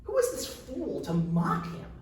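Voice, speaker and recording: A man's voice: very high voice, wildly swooping pitch, measured pace. An older man, an author introducing and reading his own fantasy story. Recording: distant microphone, very echoey room, faint noise.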